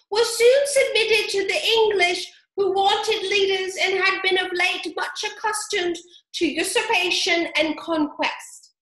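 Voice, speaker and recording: Woman doing a squeaky stuck-up mouse, slow and grand, echoey room. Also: sharp tone, heavily acted. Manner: slow, lofty, high and squeaky. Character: pompous self-important male mouse lecturer.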